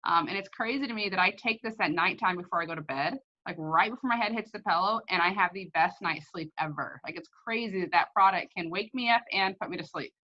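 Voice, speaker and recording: A woman's voice: on the low side, quick pace, hardly any pauses, pushed and bright, highs cut off. A woman hosting an informal chat, talking enthusiastically and personally about products she uses. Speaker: host. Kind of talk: casual chat, product testimonial.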